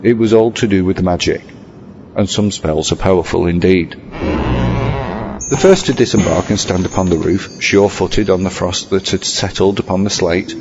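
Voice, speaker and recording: A man narrating a scripted horror tale in a formal, measured, literary storytelling manner. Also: low-pitched. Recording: muffled lo-fi, music underneath. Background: music.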